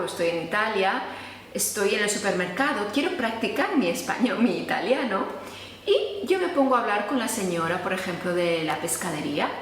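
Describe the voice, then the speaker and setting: A woman's voice: mid-range voice, slight background noise. Woman from Spain teaching, echoey room. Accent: Spanish from Spain. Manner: explanatory, acts out sample dialogues. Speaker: Spanish teacher. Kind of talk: casual online lesson. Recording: echoey room with long reverb.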